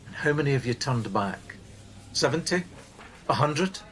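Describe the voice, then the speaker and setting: A man playing a firm, measured team supervisor. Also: medium pitch, expressive, fairly bright tone, a little hiss or hum, natural voice. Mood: insistent. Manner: measured, tactful, insistent. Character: earnest, firm male supervisor of a helpline team. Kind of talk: naturalistic radio play acting.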